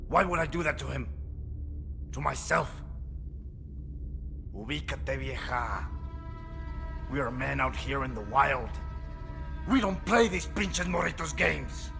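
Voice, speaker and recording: An actor playing a bitter, proud cartel gangster who speaks with contempt, cursing and mixing Spanish into English. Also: male voice, medium pitch, lively intonation, slow pace, gravelly, long pauses, sharp tone, faint music behind, heavily acted. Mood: defiant, proud.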